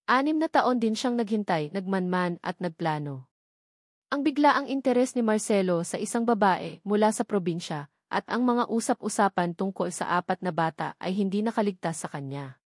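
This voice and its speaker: A woman's voice: medium pitch, animated, moderate pace, no room sound, clean studio sound. A woman with a Filipino accent reads a dramatic romance story as a scripted narration.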